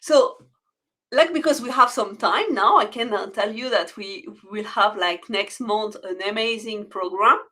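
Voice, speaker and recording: A woman's voice: mid-range voice, a little slow, sharp tone. Chatty woman hosting an online meetup. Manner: chatty, laughs often, many fillers. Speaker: event host. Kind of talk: casual online event hosting.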